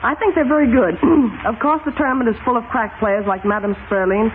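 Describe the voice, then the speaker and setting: A woman's voice: a little high, fairly quick. Woman coach on tinny vintage radio. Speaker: tennis coach guest. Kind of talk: 1930s radio interview, scripted. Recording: tinny 1930s radio broadcast, faint hiss.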